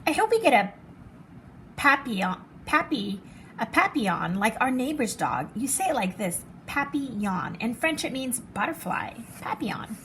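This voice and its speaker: A woman reading as an excitable, fancy little girl from a storybook, dramatic and showy with her favorite words. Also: fairly high pitch, normal speed, a little hiss or hum. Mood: excited, proud.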